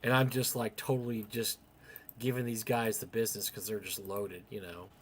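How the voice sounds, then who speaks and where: A man's voice: on the low side, moderate pace, husky. An older man with a young-sounding voice co-hosting a live stream, rambling through casual anecdotes and acting out bits of dialogue. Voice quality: young-sounding for his age. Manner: chatty, animated retelling with quoted dialogue.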